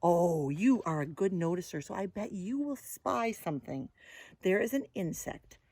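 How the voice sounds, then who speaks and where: A woman reading a picture book aloud to young children as a teacher, gently and with sing-song, exaggerated expression, often addressing them directly. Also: on the low side, lively intonation, moderate pace, slightly rough, dry recording.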